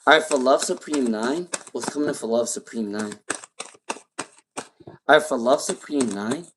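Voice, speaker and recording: A man's voice: mid-range voice, normal speed, noisy background. A man with a non-native English accent and a speech impairment reads tarot live for his viewers. His voice sits in a middle range. He repeats each name and question several times as he works. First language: not English. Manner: repeats names and questions while pulling cards. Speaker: tarot reader. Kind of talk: live-stream tarot reading.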